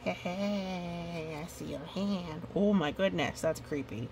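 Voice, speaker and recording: A woman's voice: fairly low pitch, slow pace, creaky, background noise. A woman reading a picture book aloud with playful, chatty asides to the characters and listeners. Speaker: storytime reader.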